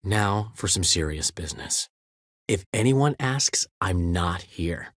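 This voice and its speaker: A man's voice: low voice, expressive, takes it slowly, fairly bright tone, no room sound, clean studio sound, heavily acted. An actor voicing a vain, witty male bard in a video game, speaking in flamboyant, florid phrases. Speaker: game character. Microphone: dry studio recording.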